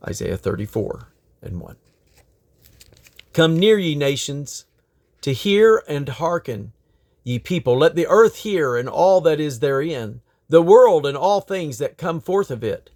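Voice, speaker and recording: A man's voice: a little high, very animated, unhurried, darkish tone. A man reading scripture aloud in a solemn, declamatory manner. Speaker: Bible reader.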